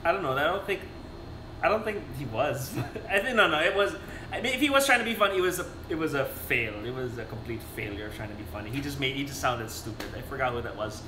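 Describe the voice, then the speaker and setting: A young man from the Philippines, co-hosting a casual live stream, animated and quick to laugh. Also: mid-range voice. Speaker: streamer and co-host. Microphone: phone. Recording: echoey room, faint background noise.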